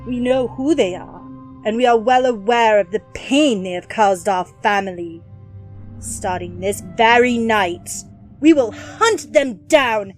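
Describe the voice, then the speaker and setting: A woman's voice: fairly high pitch, unhurried, faint music behind, close to an everyday voice. A woman playing a proud, ruthless female ninja clan leader, giving solemn, formal oratory in a comic-book audio drama. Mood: vengeful, rallying.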